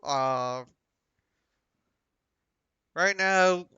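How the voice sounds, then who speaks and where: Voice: mid-range voice, very slow, sharp tone, clean tone, no echo, highs cut off. A game streamer giving casual live commentary, close on the mic.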